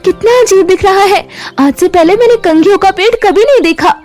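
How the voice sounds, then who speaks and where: A woman's voice: extremely high pitch, a little fast, darkish tone, soft background music, voice pushed up. A woman voicing a timid, sweet young schoolgirl in a children's storybook tale, earnest and wide-eyed. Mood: puzzled.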